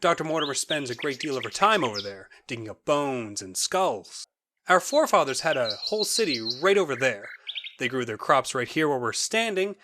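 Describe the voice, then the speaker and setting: A man's voice: mid-range voice, big pitch swings, a little fast, background noise, lightly acted. A man acting in an audio drama as a genial, eccentric naturalist who guides visitors with eager, theatrical and sometimes ominous storytelling. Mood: chatty, welcoming.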